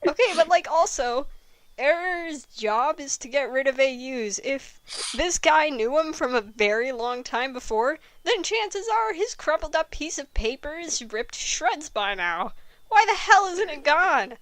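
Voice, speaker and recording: A woman's voice: high voice, expressive, unhurried, fairly bright tone, no echo. A woman casually commentating on stories in an exasperated, sweary, comedic way. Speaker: fanfiction reader and commentator.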